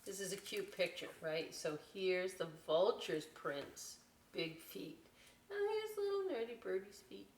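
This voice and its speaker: A woman reading a picture book aloud as a story time host, expressive, with chatty questioning asides to young listeners. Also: medium pitch, measured pace, far from the mic, slight background noise. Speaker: library story time reader. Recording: echoey room, away from the mic.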